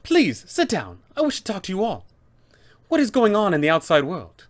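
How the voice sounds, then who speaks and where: A man's voice: high-pitched, wildly swooping pitch, moderate pace, pitched above the performer's own voice. A man voicing an officious, polite, fussy undead tomb custodian with formal announcer phrasing, as a tabletop villain. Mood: delighted, curious.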